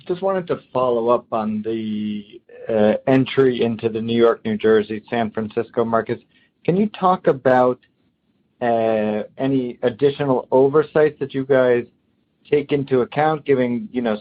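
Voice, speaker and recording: A man speaking as a financial analyst, putting questions to executives on an earnings call. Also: medium pitch. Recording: thin phone line.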